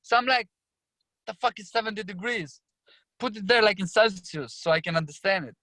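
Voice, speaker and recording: Man, Albanian accent, young adult, animated storyteller, restarts, bright voice. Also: sits high, brisk, no room sound. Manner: animated, acts out his own past lines with frequent restarts. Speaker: podcast guest. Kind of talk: casual storytelling.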